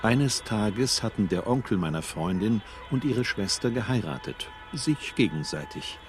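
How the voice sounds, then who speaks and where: A man's voice: on the low side, animated, a little slow. A man narrating a literary radio drama as a storyteller who also voices a stern uncle. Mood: dry, wry.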